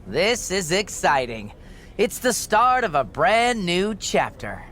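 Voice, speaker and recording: Bright, chipper backstage assistant. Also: female voice, on the low side, very animated, takes it slowly, a little hiss or hum, full character voice. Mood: excited. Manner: chipper, dismissive, needling. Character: breezy, smug backstage assistant to a wrestling boss. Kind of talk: acted video game cutscene dialogue.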